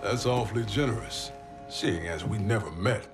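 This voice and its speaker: A man acts a sardonic, wary military veteran in a game cutscene. His voice is fairly low and blunt, with music clearly underneath. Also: normal speed, close to an everyday voice. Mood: sceptical. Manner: sarcastic, blunt. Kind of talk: video game cutscene acting.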